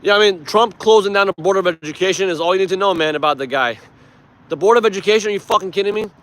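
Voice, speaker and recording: Higher-pitched man streaming casually, emphatic. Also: quick pace, a bit bright. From Iran. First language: Persian. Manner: emphatic, informal, swears freely. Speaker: live stream host. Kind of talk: casual livestream chat and political commentary.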